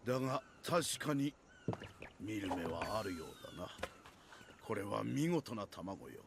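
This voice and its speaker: A man's voice: on the low side, expressive, brisk, creaky, background noise. A man playing a dignified older village leader in a Japanese video game, with grave, stately, approving speech.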